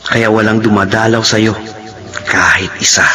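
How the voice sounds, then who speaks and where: A man's voice: fairly low pitch, fairly even intonation, measured pace, over music, lightly acted. A man acting a stern, moralizing counselor in a radio drama, blunt and admonishing.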